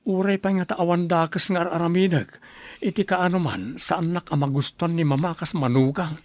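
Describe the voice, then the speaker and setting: A man's voice: a little high, steady tone, normal speed, no echo, close to an everyday voice. A man with an Ilocano accent playing an eager young husband and new father in a radio drama. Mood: earnest.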